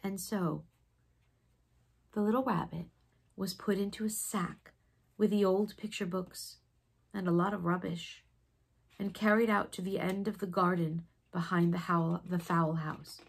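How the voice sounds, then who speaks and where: A woman reading a children's story expressively, with dramatic pauses and a breathy, sometimes hushed delivery. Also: fairly low pitch. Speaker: storybook reader.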